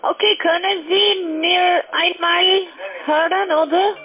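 A woman's voice: very high voice, fairly even intonation, slow pace. A woman with a foreign accent, not a native speaker, making a cold sales call as a telephone agent. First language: not German. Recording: tinny, narrow phone line.

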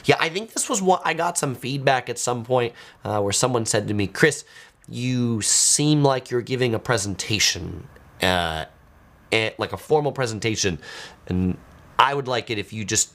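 A man in his forties with a middle-pitched voice hosts a casual livestream from a small home studio. He reads viewer comments aloud and answers them conversationally, as though speaking to one person across from him. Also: animated, moderate pace, a bit bright. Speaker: host of a travel video channel.

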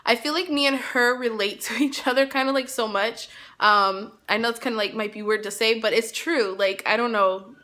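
A woman's voice: on the high side, steady tone, normal speed, bright, forceful tone. A woman in her thirties chatting casually to camera as a mom vlogger, rambling with lots of "um"s and "like"s, filmed on a phone held at arm's length in her tiled living room. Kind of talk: casual vlog chat to camera. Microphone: phone selfie camera at arm's length.

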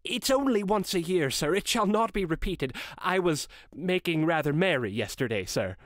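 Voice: medium pitch, expressive, normal speed, no echo, close to an everyday voice. Someone doing a timid clerk guy, sorry and deferential, storybook read, dry sound. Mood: nervous, apologetic. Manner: deferential, apologetic. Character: meek, apologetic male clerk, late for work. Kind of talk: dramatic storybook character reading.

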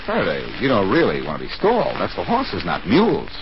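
A man's voice: lowish voice, huge pitch swings, brisk. A man with an American accent playing a cool, wisecracking detective in a 1940s radio drama, easygoing and wry. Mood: wry, teasing. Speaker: lead actor in a detective drama. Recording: muffled, noisy 1940s radio recording.

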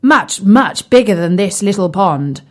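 A woman voicing a slow, earnest frog who was once a prince, in a children's story. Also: mid-range voice, expressive. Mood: earnest, pondering. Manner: slow, deliberate, drawn-out.

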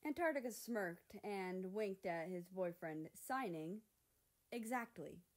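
A woman's voice: lowish voice, expressive, unhurried. A woman reading scripted fiction aloud as a story narrator. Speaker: fan fiction reader.

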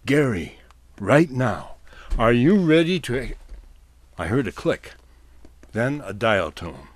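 A man delivering a wry scripted comic monologue with deliberate, dramatic pauses. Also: mid-range voice, sing-song, no room sound. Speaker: storyteller.

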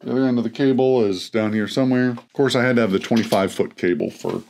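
Guy in his 30s walking through mixer settings, lowish voice, friendly explainer tone, small home studio. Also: fast, vocal fry, darkish tone, a little hiss or hum. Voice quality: lower voice. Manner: friendly, explanatory, demonstrating gear while talking. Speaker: audio tutorial host. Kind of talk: hands-on equipment tutorial. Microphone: handheld mic close up, plus a camera mic.

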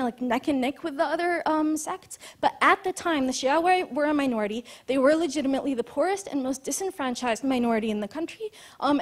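Woman speaker, high voice, earnest lecture tone, lots of fillers. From Lebanon. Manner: many fillers and restarts, earnest explaining. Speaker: conference speaker. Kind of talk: lecture talk.

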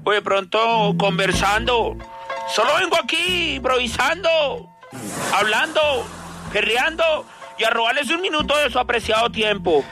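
Very high-pitched cheeky street busker. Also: male voice, lively intonation, measured pace, exaggerated voice. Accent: Colombian. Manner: singsong rhyming patter, slangy. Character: brash young male street busker, cheeky and quarrelsome. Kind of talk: comic radio soap opera acting. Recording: music behind the voice.

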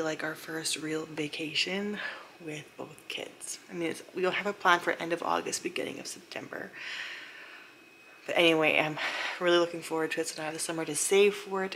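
A young woman chatting casually to a camera held at arm's length in her home, rambling with lots of fillers and often talking hushed. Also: on the low side, a little hiss or hum, rough recording. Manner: talking hushed, sometimes whispering. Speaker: vlogger. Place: open-plan living room and kitchen at home. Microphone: handheld camera at arm's length.

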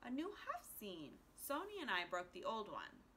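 A woman's voice: medium pitch, wildly swooping pitch, normal speed, pushed and bright, a little hiss or hum, rough recording. A woman voicing an eager, inquisitive little boy in a children's storybook. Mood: earnest. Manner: inquisitive, earnest.

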